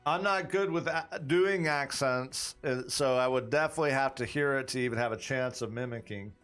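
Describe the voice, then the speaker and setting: A man's voice: medium pitch, moderate pace, a bit bright, clean tone, soft background music. Man thinking aloud as game master. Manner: rambling, thinks aloud with frequent restarts. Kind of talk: running a tabletop campaign stream, chatting with viewers.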